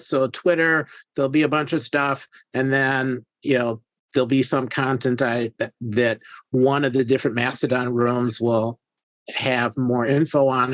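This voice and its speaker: A man talks at length as a guest on a casual video-call panel, in a chatty, explaining way. His pitch is middle-of-the-road. The recording is dry and close. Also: no echo.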